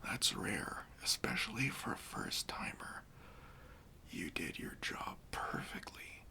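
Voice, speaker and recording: Whispering, slow-talking man as a kindly bank robber. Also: deep voice, lively intonation, full character voice. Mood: soothing, reassuring. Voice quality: breathy, near-whispered. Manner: slow, gentle, casual and reassuring. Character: kindly, reassuring male bank robber comforting a nervous accomplice. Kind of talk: soft-spoken ASMR roleplay.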